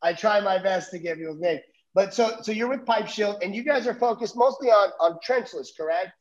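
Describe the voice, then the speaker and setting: A man hosting a podcast, asking casual questions in a relaxed, self-deprecating way and often repeating words as he starts. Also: sits high, a little fast. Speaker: podcast host. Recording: dull lo-fi recording.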